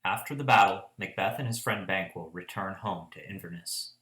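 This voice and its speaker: A man's voice: low-pitched, moderate pace, fairly bright tone. A man reading scripted scene-setting narration as the narrator of a radio play. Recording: echoey room.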